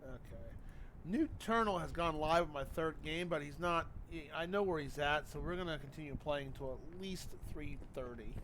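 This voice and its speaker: A man's voice: fairly high pitch, moderate pace, a bit bright, a little hiss or hum, low-quality recording. A man chatting casually as a game streamer, rambling in a conversational way. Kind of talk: casual livestream commentary.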